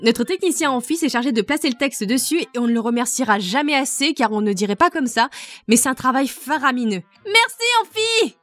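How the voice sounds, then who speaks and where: A woman's voice: sits high, big pitch swings, a little fast, a bit bright, no room sound, faint music behind. A woman reading out prepared answers about making a fan dub, explaining in detail. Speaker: fandub project lead.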